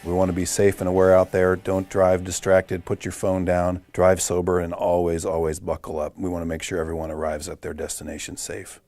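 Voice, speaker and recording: A man's voice: low voice, completely flat delivery, a little fast, few pauses, a little mellow, no echo, faint music behind. A middle-aged man hosting a podcast, upbeat and encouraging, with frequent affirming sounds. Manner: upbeat, many affirming fillers. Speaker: podcast host, department director. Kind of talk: podcast host chat.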